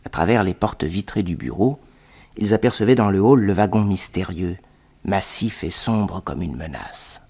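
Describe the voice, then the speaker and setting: A man's voice: low voice, lively intonation, normal speed, a little mellow, breathy edge, exaggerated voice. An actor narrating as a young male sidekick in a radio drama, telling the story evenly. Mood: steady. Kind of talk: scripted narration.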